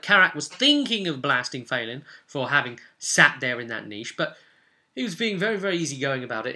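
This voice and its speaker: A man's voice: on the high side, bright, forceful tone. A man speaking as a game master, recounting play informally and explaining with emphatic, repeated phrases. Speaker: game master recounting his tabletop session.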